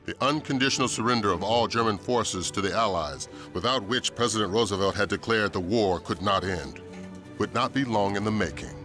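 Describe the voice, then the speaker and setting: A man giving solemn, measured, scripted documentary-style narration of wartime history. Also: lowish voice. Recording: music behind.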